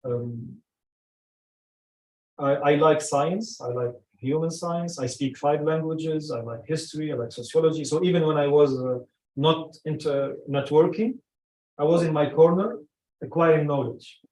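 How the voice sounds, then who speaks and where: A man's voice: on the high side, unhurried, a little mellow. A middle-aged man, a native Arabic speaker, giving an informal talk to a small group in an emphatic way, repeating phrases for weight. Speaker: speaker at a community meeting on personal branding. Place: indoor meeting room. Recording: echoey room, far from the mic.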